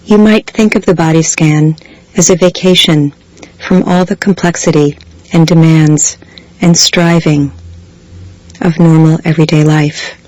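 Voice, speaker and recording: A woman guiding a body scan meditation in a slow, measured way, leaving long pauses between phrases. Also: low voice, fairly even intonation, darkish tone, no room sound, poor audio. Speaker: meditation guide. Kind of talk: guided body scan meditation, scripted soothing instruction.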